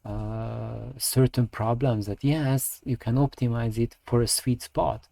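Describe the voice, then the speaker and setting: A man talks through technical advice off the cuff in a low, gravelly voice. His delivery is very slow and halting, with words repeated as he feels his way through each point. The pitch stays very flat throughout. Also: no room sound. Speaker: audio review channel host. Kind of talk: unscripted technical talk, opinion and advice.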